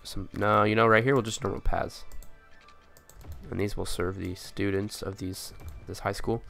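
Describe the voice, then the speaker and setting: Low, gravelly man with a stuffy nose. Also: steady tone, moderate pace, fairly bright tone, faint music behind. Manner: thinking aloud, hesitant restarts. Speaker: city-building game player. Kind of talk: casual gameplay commentary.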